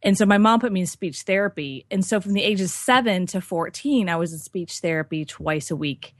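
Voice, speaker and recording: A woman's voice: on the low side, animated, fairly quick, dry recording, studio quality. A woman from Texas with no regional accent, a business coach telling her story as an interview guest in a chatty, candid way with many fillers.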